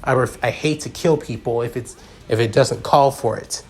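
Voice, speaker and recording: A man voicing a cold young swordsman who makes threats in a calm, matter-of-fact manner for a story reading. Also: mid-range voice, normal speed, soft background music, natural voice.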